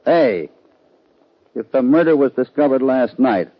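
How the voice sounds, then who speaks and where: An actor playing a steady, commanding cowboy hero in a 1950s radio western, speaking in a firm, terse, authoritative way. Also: male voice, medium pitch, a little slow. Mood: urgent. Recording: dull, lo-fi 1950 radio recording.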